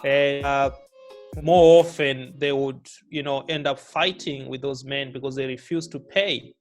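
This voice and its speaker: Middle-aged guy from zimbabwe telling his life story, lots of uh and you know, soft music behind. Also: medium pitch, fairly even intonation, measured pace. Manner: reflective storytelling, many fillers and restarts. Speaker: guest, author and businessman. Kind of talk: relaxed life-story interview.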